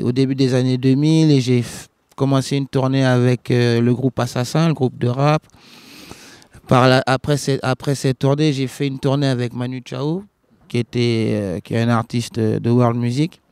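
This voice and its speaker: A man who grew up in Liberia, a reggae singer answering interview questions in a halting way, with many restarts and fillers. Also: mid-range voice, a little mellow, smooth, no echo. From West Africa, grew up in Liberia.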